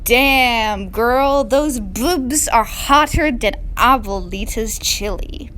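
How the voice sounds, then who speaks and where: A woman's voice: on the high side, slow, fairly bright tone. A woman voicing a lewd, flirtatious donkey with a mock Mexican manner in a comic story reading, with noise in the recording. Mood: lewd flirting.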